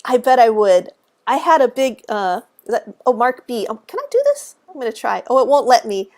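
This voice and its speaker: A woman with a high voice, exuberant and chatty, explaining cemetery history as a guest on a live stream. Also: big pitch swings, moderate pace, darkish tone. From Texas.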